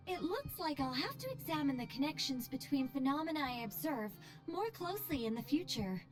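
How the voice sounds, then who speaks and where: An actress playing a poised, playful, self-assured angelic mage in a video game, airily polite and gently boastful. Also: female voice, high voice, big pitch swings, normal speed. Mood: thoughtful, grave. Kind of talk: video game character dialogue. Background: background music.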